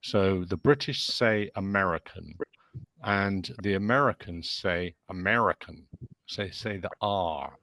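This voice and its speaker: Patient low-voiced male English tutor. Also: a bit bright, no echo. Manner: patient, explains slowly. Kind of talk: online tutoring call. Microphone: video call.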